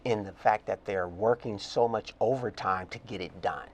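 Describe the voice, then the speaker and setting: A man's voice: lowish voice, normal speed. An older man from Oakland with an American accent, answering as a guest in a conversational interview. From Oakland, California, United States. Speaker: interview guest, custodial and grounds director.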